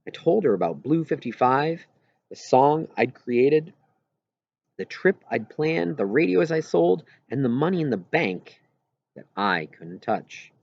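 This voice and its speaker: A man narrates a children's novel in the first person, reading it aloud. His mid-range voice moves widely up and down in pitch. The recording is dry and a little dull, with hardly any room sound. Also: very animated, measured pace, creaky, dry recording. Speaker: audiobook reader.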